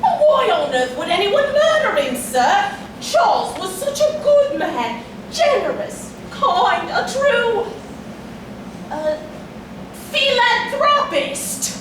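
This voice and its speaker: A woman's voice: very high-pitched, very animated, takes it slowly, exaggerated voice. A woman playing a deferential, flustered male manservant in a staged whodunit, speaking hesitantly. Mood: bewildered. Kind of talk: theatrical staged whodunit acting. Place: stage in a hall, recorded from afar. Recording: echoey hall, distant mic, noisy.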